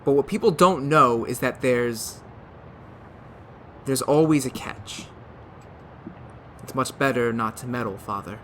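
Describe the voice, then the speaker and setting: A man acting an undead son who has come back as a wolf, speaking quietly and ominously in a radio drama. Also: medium pitch, a little slow, long pauses, slight background noise, close to an everyday voice. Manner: quiet, soft, ominous and regretful. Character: undead young man returned as wolf, eerie calm.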